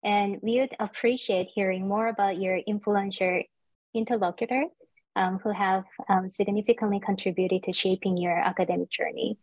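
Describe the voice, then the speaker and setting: A woman's voice: mid-range voice, fairly even intonation, normal speed, dry recording, muffled recording. A woman hosting an academic interview, asking formal, polite questions with frequent ums. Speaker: interviewer, host.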